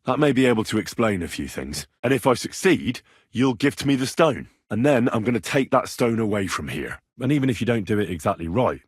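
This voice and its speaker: Earnest young medieval game hero, lively delivery. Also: male voice, lowish voice, a little fast, husky, dry recording, studio quality. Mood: calm reassuring. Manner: earnest, persuasive, direct. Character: earnest young medieval man, plain-spoken, persuasive, stubborn. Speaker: video game voice actor. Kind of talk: acted video game dialogue lines.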